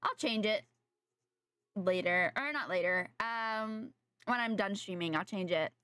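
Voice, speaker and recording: A woman's voice: medium pitch, measured pace, a bit bright, clean tone, dry recording. A young adult woman streaming a game, chatting casually with viewers in a slightly nasal voice from a sore throat, rambling and correcting herself. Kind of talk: casual live-stream chat while gaming.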